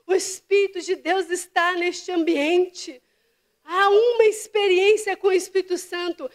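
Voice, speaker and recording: Lady pastor preaching to her church, high voice, takes her time, whispers then cries out. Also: steady tone, unhurried. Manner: fervent preaching, swinging from hushed whispers to impassioned cries. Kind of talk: sermon. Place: church service.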